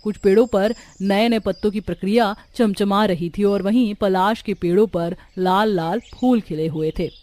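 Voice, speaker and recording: A woman's voice: medium pitch, moderate pace, few pauses, mellow. A woman narrating a scripted cartoon story.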